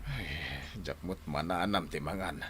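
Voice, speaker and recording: A man's voice: low voice, unhurried, a bit bright, breathy edge, heavily acted. A man playing a stubborn, cranky old father in pain, groaning and protesting with a Filipino accent in a radio drama. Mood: complaining, stubborn. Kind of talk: Ilocano radio drama acting.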